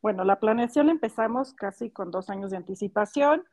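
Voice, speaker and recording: A woman's voice: medium pitch, lively intonation, fairly quick, darkish tone. A woman in her forties, a podcast guest, chatting casually and telling a story, full of fillers. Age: 40s.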